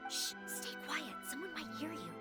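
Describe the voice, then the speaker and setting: A woman's voice: high-pitched, wildly swooping pitch, normal speed. An actress playing a concerned, cautious townswoman in a video game. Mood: hushed, wary. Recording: music behind the voice.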